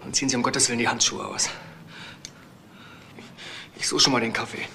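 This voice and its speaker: An actor playing a talkative, philosophical young biology student in a crime drama, rambling and stammering, unfazed by an intruder. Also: male voice, medium pitch, steady tone, fairly quick, vocal fry, pushed and bright, slight background noise. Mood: casual, musing. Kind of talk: naturalistic German crime drama acting.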